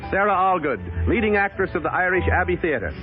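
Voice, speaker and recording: A man's voice: sits high, sing-song, brisk. A man reading scripted announcements as co-host of a 1930s radio show. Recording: muffled 1930s radio broadcast, music behind.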